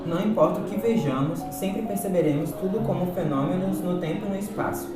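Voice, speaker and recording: A man's voice: high voice, fairly quick, natural voice. A Brazilian man playing a patient male philosophy teacher who explains things didactically in an amateur radio drama. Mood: patient, explanatory. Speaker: actor in a student radio drama. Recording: very echoey, distant, loud music behind.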